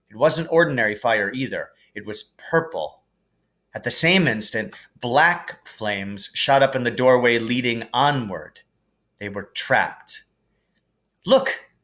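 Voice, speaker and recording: A man gives a storybook narration, reading aloud as a teacher would. His pitch sits in the middle range and his overall pace is slow. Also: sharp tone.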